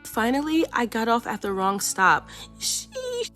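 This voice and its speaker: A woman reading a children's picture book aloud in a playful, expressive storytelling way. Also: a little high, measured pace, faint music behind.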